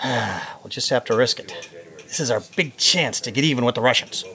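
An actor playing an eager, determined government agent in an audio drama, urgent and businesslike. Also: male voice, mid-range voice, animated, normal speed, bright, forceful tone. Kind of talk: scripted audio drama acting.

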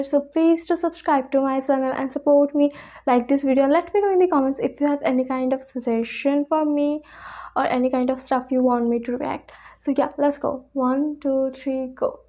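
A woman's voice: sits high, fairly even intonation, moderate pace, dark tone. A woman in her twenties with an Indian accent, giving casual reaction commentary as a video host. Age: 20s.

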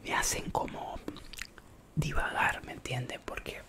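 A man with a Rioplatense Spanish accent, whispering softly as an ASMR live streamer, chatting with viewers in a rambling, playful way. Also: unhurried.

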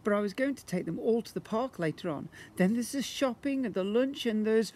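A man's voice: high voice, lively intonation, fairly quick, a little mellow, slight background noise, voice pushed up. A man voicing a tired, unwell mother in a children's storybook, speaking in a put-upon, worn-out way. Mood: weary.

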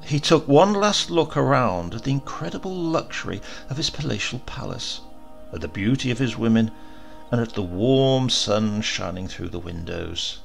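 A man narrating a science-fiction horror story in an expressive, storytelling manner. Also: mid-range voice, moderate pace, creaky. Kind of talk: audiobook narration. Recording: reading over music. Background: music.

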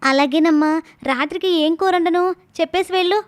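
A woman's voice: extremely high pitch, normal speed. A woman voicing an eager, cheeky young village daughter with a childlike sing-song way of talking, in a story cartoon. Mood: eager, pleading. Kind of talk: animated moral story dialogue.

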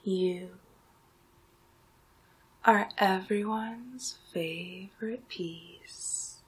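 A young adult woman performing an ASMR roleplay as a magical enchantress, whispering breathily in a hypnotic, drawn-out way. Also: mid-range voice, husky. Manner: slow, hypnotic, drawn-out phrasing. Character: magical enchantress turning listener into Halloween candy.

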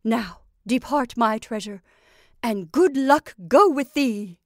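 Woman playing an old witch, high sharp tone, doting, coaxing, archaic speech, dry close sound. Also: slow pace, no room sound.

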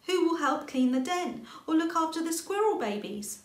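A woman voicing an eager, excitable young girl rabbit in a storybook reading. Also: very high voice, normal speed, voice pushed up. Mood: worried. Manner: bright-eyed, excitable. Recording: roomy home recording.

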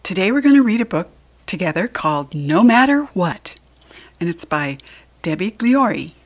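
A woman with an American accent, hosting and reading a storybook to young children with deliberate, expressive enunciation. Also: fairly low pitch. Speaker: children's storybook reader and host.

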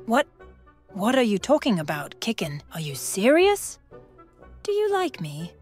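A woman voicing a temperamental young female unicorn artist in an amateur comic dub, expressive and exclamatory. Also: on the high side, unhurried, soft background music. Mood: concerned.

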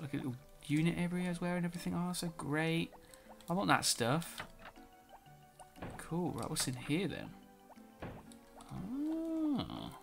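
A man's voice: mid-range voice, vocal fry, fairly bright tone. A man giving casual let's play commentary, a bit nasal from a cold, reading game text aloud as he plays. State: slightly nasal, sore throat. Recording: faint music behind.

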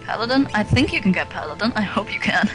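A woman's voice: medium pitch, lively intonation, fast, low-quality recording. A woman co-hosting a video game playthrough, casually explaining her plans and laughing along. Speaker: let's play co-host. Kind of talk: casual gameplay chat. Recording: game music underneath.

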